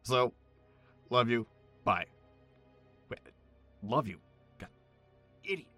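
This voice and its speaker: A man's voice: medium pitch, expressive, takes it slowly, pushed and bright, soft background music, exaggerated voice. A man playing an awkward, apologetic boyfriend leaving a rambling voicemail in an audio drama. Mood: sheepish, flustered. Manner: rambling, apologetic, self-conscious. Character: rambling, awkward, superstitious sports fan boyfriend.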